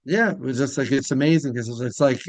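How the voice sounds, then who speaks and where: A man's voice: medium pitch, fast talker, no echo. A man hosting a casual interview, talking in a rambling way that circles back mid-sentence. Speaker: podcast host interviewer.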